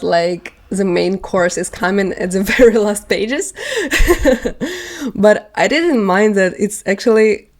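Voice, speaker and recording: A woman's voice: medium pitch, darkish tone. A woman whose first language is not English, chatting casually about books on her vlog, rambling and laughing often. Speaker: book vlogger. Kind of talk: casual chat.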